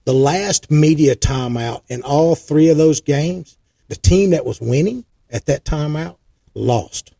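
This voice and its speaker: A man's voice: on the high side, slow, vocal fry, mellow. A man co-hosting a podcast, chatting in a folksy, enthusiastic way with his guest. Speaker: podcast co-host. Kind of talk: casual interview chat.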